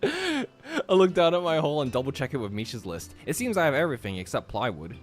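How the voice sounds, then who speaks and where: A man's voice: medium pitch, huge pitch swings, quick pace. A young adult man from Australia chatting casually on a game stream with friends and reading aloud. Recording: music behind the voice.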